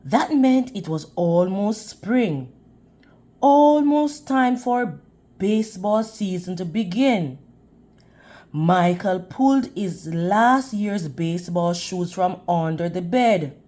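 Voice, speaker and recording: A woman reads a picture book aloud for young children at an unhurried pace. Her pitch moves up and down in a lively way, and she speaks like a teacher, prompting her listeners as she goes. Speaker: children's read-aloud storyteller. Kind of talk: storybook read-aloud with questions to young children.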